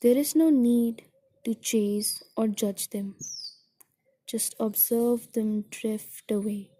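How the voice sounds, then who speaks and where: A woman guiding a meditation in a soothing, gentle, deliberate way, with a soft, breathy voice that trails toward a whisper. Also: fairly high pitch, a little hiss or hum. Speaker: meditation guide. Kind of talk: guided meditation narration.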